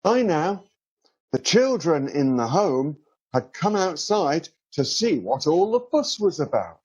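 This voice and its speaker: A man with a high, slightly husky voice reads a children's storybook. His delivery is slow and very lively, stretching words out for effect. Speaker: author reading a children's story. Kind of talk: scripted children's storybook narration.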